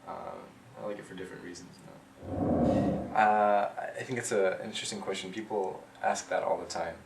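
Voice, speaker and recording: Deep, flat-voiced young man, roomy distant sound. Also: normal speed. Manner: relaxed, thinking aloud with many fillers. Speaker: interviewee, rover systems engineer. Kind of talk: casual interview answers. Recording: roomy, distant mic, clear background noise.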